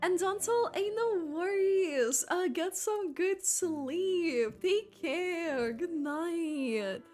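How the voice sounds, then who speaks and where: A woman livestreamer giving casual reaction commentary on a game, chatty and expressive, often halting mid-thought. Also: very high-pitched. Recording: game music behind the voice. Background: game music.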